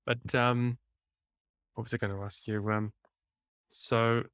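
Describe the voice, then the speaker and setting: A man's voice: medium pitch, level tone, slow, fairly bright tone, smooth, no echo. A man with an English accent, from near Cambridge, hosting a casual podcast interview in a friendly, teasing way over a video call. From England, near Cambridge. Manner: friendly, teasing, self-mocking. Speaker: podcast host.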